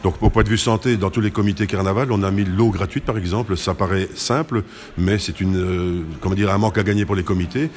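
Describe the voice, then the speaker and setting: Fast-talking, low-voiced man. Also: steady tone. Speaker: carnival committee president, interview guest. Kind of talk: conversational interview answers.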